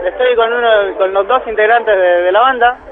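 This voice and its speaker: High-voiced Argentine man reporting by phone. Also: brisk. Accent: Buenos Aires Argentine. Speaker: roving radio reporter. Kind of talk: casual live report. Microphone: telephone. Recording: dull phone line, crowded venue. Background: crowd chatter.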